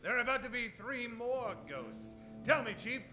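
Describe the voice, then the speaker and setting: A man's voice: very high-pitched, lively intonation, moderate pace, sharp tone, full character voice. A man playing a swaggering, scheming Old West hero with theatrical delivery in a comedic radio play. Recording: music behind the voice.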